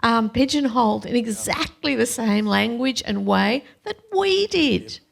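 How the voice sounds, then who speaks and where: A woman's voice: a little high, animated, measured pace, gravelly. A woman in her seventies on a panel, speaking emphatically about her generation. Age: 70s. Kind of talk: panel discussion.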